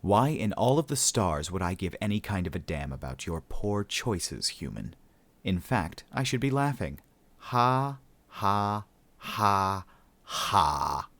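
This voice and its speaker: A man's voice: sits low, big pitch swings, normal speed, no echo, full character voice. A man voicing an irritable, haughty alien prisoner in a sci-fi audiobook, formal and biting. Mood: scornful.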